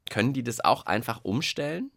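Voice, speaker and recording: A man's voice: medium pitch, expressive, brisk, gravelly, a bit bright, no room sound. A man co-hosting a children's radio show in a casual, playful, explaining way, asking questions into a close studio microphone.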